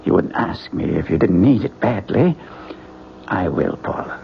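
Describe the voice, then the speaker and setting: Nice older family friend, old radio drama, creaky lively voice, gentle and fatherly, muffled. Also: low voice, unhurried, heavily acted. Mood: gentle, concerned. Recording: muffled old radio recording, faint noise.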